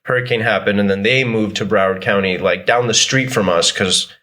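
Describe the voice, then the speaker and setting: A man's voice: fairly low pitch, brisk. A young man born in Argentina with Spanish as his first language, talking loosely as a podcast guest, full of fillers and swearing. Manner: relaxed, rambling, heavy filler and swearing. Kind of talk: casual interview chat.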